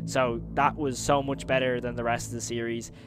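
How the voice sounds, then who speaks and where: A young man hosts a reaction video with informal, enthusiastic commentary. His pitch sits in the middle for a man, and he speaks close to the mic in a small home studio, with music behind him. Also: flat delivery, moderate pace. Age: young adult. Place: small bedroom studio, indoors. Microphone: close boom-arm microphone.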